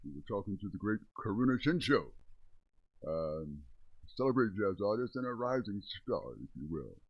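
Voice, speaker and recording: Husky, lively-voiced radio host. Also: male voice, lowish voice, normal speed, no room sound, highs cut off. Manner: effusive, upbeat. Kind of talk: radio interview hosting.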